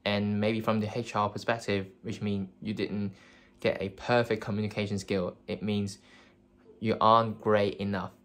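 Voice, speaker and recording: Low flat-voiced young man. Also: normal speed. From Hong Kong. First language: Cantonese. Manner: earnest, many fillers and restarts. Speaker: career advice vlogger. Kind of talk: casual advice talk to camera.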